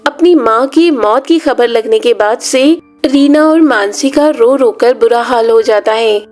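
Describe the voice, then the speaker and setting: A woman storyteller reads a scripted children's tale. Her voice is high and she takes her time over each phrase. Music plays behind the narration. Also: a little slow, a little mellow. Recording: music under the voice.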